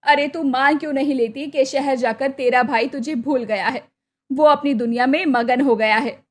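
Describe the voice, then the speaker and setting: A woman's voice: high-pitched, normal speed. A woman voicing an older village mother-in-law in a cartoon story, blunt, doubting and questioning. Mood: doubtful, chiding. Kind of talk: Hindi cartoon moral story acting.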